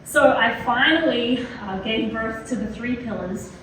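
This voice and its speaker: An Australian woman gives a talk in a lecture hall, chatty and eager, her slightly high voice scattered with fillers. She stands some way from the mic, and the room rings with heavy echo and steady background noise. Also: a little slow, distant mic. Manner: enthusiastic, chatty, with many fillers. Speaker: keynote speaker. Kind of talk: presentation to a small audience. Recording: very echoey hall, heard from afar.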